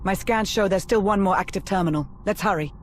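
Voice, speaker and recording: Woman as a brisk, mid-pitched mission agent. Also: background noise, natural voice. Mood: urgent. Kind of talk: video game voice acting.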